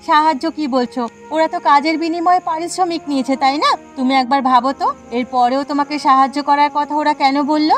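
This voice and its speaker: Woman putting on a nosy cartoon neighbour lady, super high voice, pushy n suspicious, bit of music. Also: brisk, few pauses, close to an everyday voice. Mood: insistent. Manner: insistent, prying. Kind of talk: cartoon dialogue acting.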